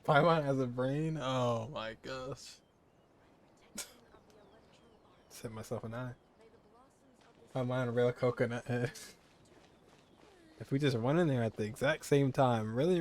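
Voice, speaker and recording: A man giving casual, laid-back commentary over a video game he is playing, chuckling between reactions. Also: mid-range voice. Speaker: gaming streamer.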